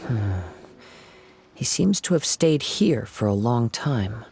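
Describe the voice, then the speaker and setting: A composed young detective. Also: male voice, medium pitch, animated, slow, gravelly, over loud music. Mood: calm. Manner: analytical, composed, explanatory. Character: calm, sharp young male detective.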